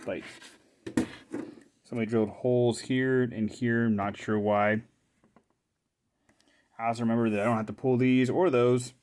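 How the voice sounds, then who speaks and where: Man, mid-pitched voice, informal hobbyist, hands-on show-and-tell, halting phrases, thinking aloud. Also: steady tone, a little mellow. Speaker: hobbyist repairer presenting his own video.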